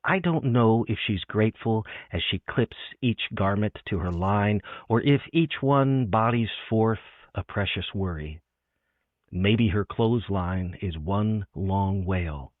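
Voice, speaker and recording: Male poetry host, very low voice, thin phone-like audio. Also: slow. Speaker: interviewer and host. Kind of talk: literary chat and poetry reading. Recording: echoey, band-limited phone-like sound.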